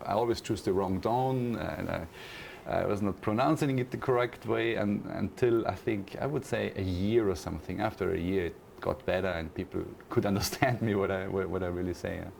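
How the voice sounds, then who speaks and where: Austrian man with a gravelly low voice. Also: darkish tone, slight background noise. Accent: Austrian German. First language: German. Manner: thoughtful, many pauses and fillers, restarts phrases. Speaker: university lecturer, interview guest. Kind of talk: casual interview answers.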